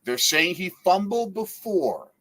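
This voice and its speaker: A man hosting a casual watch-along stream, calling the plays in a lively, excitable way. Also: sits high, a little slow. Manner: lively, excitable, laughs often. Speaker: livestream host.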